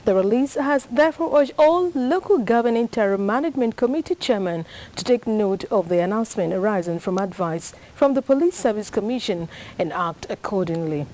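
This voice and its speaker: A woman's voice: mid-range voice, expressive, a little fast, few pauses, a little mellow, low-quality recording. A woman with a Nigerian accent reading formal scripted television news as a presenter.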